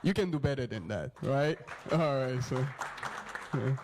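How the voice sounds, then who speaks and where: A man's voice: medium pitch, animated, unhurried, a crowd in the background, noisy background. A man from Haiti, a youth leader, casually hosting a church Q&A panel with lots of fillers and laughs.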